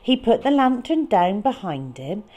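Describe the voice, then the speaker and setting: A woman reading a picture book to young children in a dramatic, expressive way with deliberate phrasing. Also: mid-range voice, dull audio. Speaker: children's storyteller.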